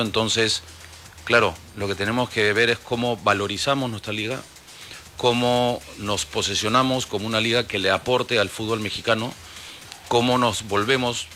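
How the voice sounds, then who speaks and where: A Peruvian man in his forties, a football club executive answering radio interview questions in a measured, explanatory way with many fillers. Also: on the low side, gravelly, sharp tone, a little hiss or hum. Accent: Peruvian Spanish. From Peru. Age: 40s.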